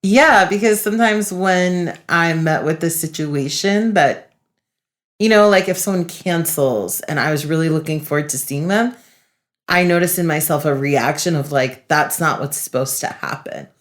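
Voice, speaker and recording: A woman's voice: low-pitched, moderate pace. A young woman co-hosting a podcast, speaking in an earnest, reflective way with many fillers. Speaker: podcast co-host, facilitator. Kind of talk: casual podcast chat.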